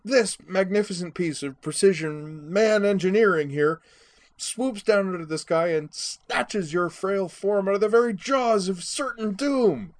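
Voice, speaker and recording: Man putting on a brash, drunken woman pilot, swooping high. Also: a little slow. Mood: exuberant bragging. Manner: exclamatory, slangy, theatrical. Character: drunken, brash, boisterous young woman pilot, prone to mockery.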